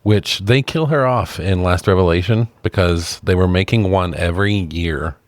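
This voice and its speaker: A man's voice: deep voice, moderate pace, no echo. A man co-hosting a podcast, talking casually about movies and video games. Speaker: podcast co-host. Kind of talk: casual film and game chat.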